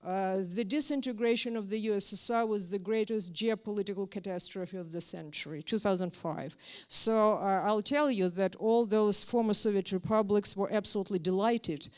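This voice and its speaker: A woman's voice: mid-range voice, normal speed, fairly bright tone. A woman from Ukraine, speaking as a guest at a university talk in an earnest, emphatic way. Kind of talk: university lecture.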